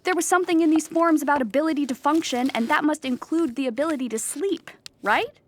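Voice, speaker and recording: An actress playing an anxious, earnest, depressed clown in a theatrical audio drama monologue, explaining with dramatic emphasis. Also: female voice, high voice, brisk, a little hiss or hum, close to an everyday voice. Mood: nervous.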